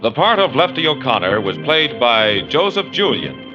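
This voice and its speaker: A man's voice: medium pitch, sing-song, normal speed. A man delivering solemn, dramatic scripted narration as a 1940s radio announcer. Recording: dull vintage radio with loud music.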